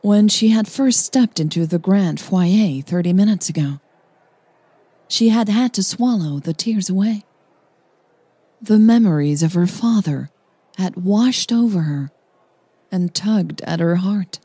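A woman hosting a podcast and narrating a romance story in a calm, measured, scripted reading. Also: medium pitch, slightly rough, no room sound.

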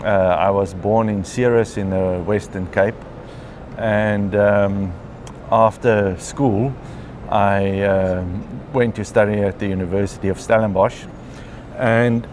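A man in his fifties with a South African accent, telling his story in a relaxed conversational interview, recorded on a lapel mic in a large glass atrium. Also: fairly low pitch, slight background noise. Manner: chatty, frequent ums and clears throat. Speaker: interviewee, mycologist.

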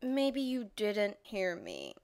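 A woman's voice: medium pitch, wildly swooping pitch, takes it slowly, creaky, a little mellow, heavily acted. A woman voicing a foul-mouthed young female terrorist who is irritable, sarcastic and exasperated.

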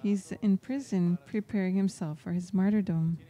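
A woman interprets a homily aloud, phrase by phrase. Her voice is very dark and mellow, at a middling pitch. The delivery halts between short phrases as she translates live. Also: steady tone, normal speed. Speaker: interpreter voicing a priest's homily in English. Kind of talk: live spoken translation of a sermon.

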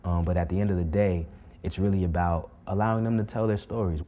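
A man explaining his teaching approach in an interview in a school theater, calm and measured. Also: low voice, lo-fi sound.

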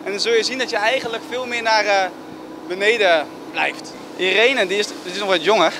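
A man ski instructor calmly giving tips and talking about teaching children. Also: sits high, brisk, noisy background. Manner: calm, instructing. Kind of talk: instruction and interview.

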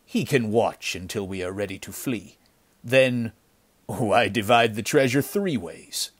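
A man's voice: on the low side, a little slow, slightly rough. A man voicing a ruthless, commanding bandit chief who snarls impatiently in an adventure story. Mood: grim, scheming. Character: ruthless, cursing bandit chief nicknamed the wolf. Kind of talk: dramatic storybook villain acting.

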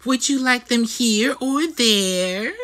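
A man's voice: very high voice, slow pace, pushed and bright, exaggerated voice. A man voicing a small, eager storybook creature who coaxes and pesters insistently. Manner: chirpy, insistent coaxing.

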